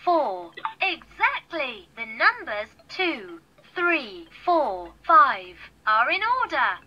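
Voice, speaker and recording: A woman's voice: high voice, very animated, takes it slowly, bright, forceful tone, dull audio, exaggerated voice. A woman voicing a peppy cartoon helper in a children's learning laptop, encouraging and instructional, played through a toy speaker. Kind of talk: children's electronic toy prompts. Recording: toy speaker playback with music.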